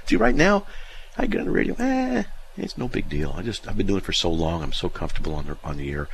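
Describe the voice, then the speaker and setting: A man's voice: deep voice, sing-song, fast talker, creaky, slight background noise. A middle-aged man hosting a call-in radio show, telling stories in a folksy, self-deprecating way. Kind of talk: casual call-in radio chat.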